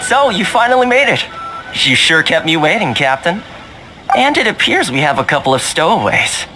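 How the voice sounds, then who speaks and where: Lively, easygoing young male trader. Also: fairly high pitch, normal speed, music in the background, rough recording. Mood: warm, welcoming. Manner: friendly, smooth-talking, lightly flowery. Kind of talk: video game voice acting.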